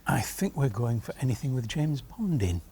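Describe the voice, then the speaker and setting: A man's voice: mid-range voice, sing-song, moderate pace. A man thinking aloud with his teammates as a contestant on a studio quiz show. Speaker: quiz contestant, team spokesperson. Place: television studio. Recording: loud music under the voice.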